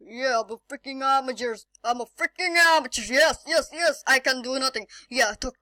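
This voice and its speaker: A woman's voice: high-pitched, normal speed, pushed and bright, no room sound, clean studio sound. A woman who does amateur comic dubs, talking casually and self-mockingly, somewhat flustered. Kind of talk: video intro and blooper chatter.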